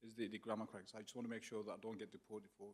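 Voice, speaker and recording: A man's voice: lowish voice, little pitch movement, racing, husky. A man from Afghanistan speaking to a theatre audience as a candidate, earnest and personal, with some self-deprecating humour. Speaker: parliamentary candidate. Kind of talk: candidate's opening statement. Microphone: handheld microphone.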